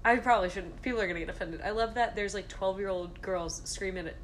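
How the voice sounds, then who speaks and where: A woman's voice: medium pitch, fast. Giggly girl in her 20s chatting on a podcast, jokey, kinda self-mocking, some noise behind her. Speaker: podcast co-host. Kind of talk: casual banter between friends.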